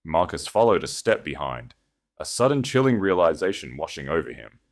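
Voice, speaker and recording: A man's voice: extremely low pitch, big pitch swings, moderate pace. A man reading scripted fiction as an audiobook narrator, in a dramatic storytelling style.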